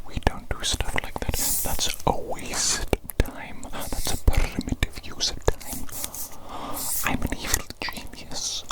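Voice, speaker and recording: A woman whispering an ASMR roleplay as a playful evil genius, murmuring to the listener and softly repeating her phrases. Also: rough recording. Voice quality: breathy, unvoiced whisper. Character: scheming evil genius examining a creature minion.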